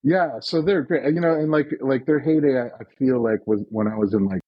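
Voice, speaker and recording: A man's voice: mid-range voice, fairly quick, no room sound, highs cut off. A man in his forties telling a long, rambling story in casual chat, with lots of fillers and restarts. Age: 40s. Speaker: podcast guest.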